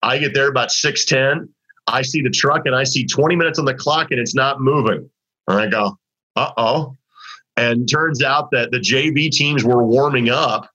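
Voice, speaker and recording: Man, sports talk host, slightly raspy and husky, bright forceful voice, rambling casual delivery, dull lo-fi audio. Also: mid-range voice, normal speed. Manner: conversational, rambling with restarts and fillers. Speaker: sports broadcaster hosting a high school basketball talk segment.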